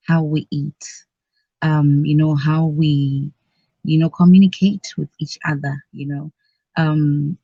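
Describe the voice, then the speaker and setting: A woman's voice: low voice, mellow, slightly rough, no echo. Woman, talkative radio guest with frequent laughter, recorded dry and slightly dull. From Zimbabwe. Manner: chatty, laughs often between phrases. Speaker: guest, cultural advocate. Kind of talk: conversational radio interview.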